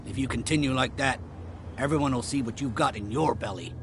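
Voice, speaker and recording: An actor playing a calm, wry male sailor with a gravelly voice in a video game. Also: medium pitch, fairly quick, noisy background, close to an everyday voice. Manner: calming and wry.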